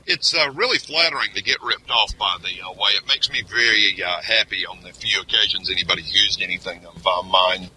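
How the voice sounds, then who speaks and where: A man chats on a podcast panel, stopping often on "uh" and tossing in crude jokes. Clear background noise sits under his voice. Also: deep voice, pushed and bright, rough recording. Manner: hesitant, many "uh" pauses, crude joking. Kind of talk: casual panel chat.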